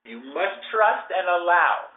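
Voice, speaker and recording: A man channeling a spirit, giving spiritual guidance in a formal, unhurried way and speaking of himself as "we". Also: high voice, lively intonation. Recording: echoey, tinny phone-like recording.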